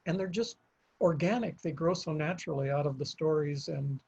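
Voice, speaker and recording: An older man, an author, speaking in a panel conversation in a halting, reflective way with frequent pauses. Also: fairly high pitch, creaky, darkish tone, slightly rough. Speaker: author guest. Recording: dull lo-fi audio.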